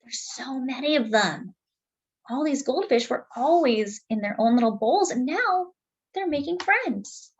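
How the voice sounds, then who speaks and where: Female children's story reader, high voice, highly animated melody, deliberate phrasing with conversational asides. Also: breathy edge. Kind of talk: expressive picture book read-aloud.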